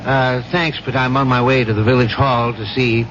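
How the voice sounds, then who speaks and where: An actor playing a worried, hesitant male professor in a 1970s radio drama, speaking haltingly. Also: mid-range voice, little pitch movement, natural voice. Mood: anxious. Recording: noisy, dull 1970s radio broadcast.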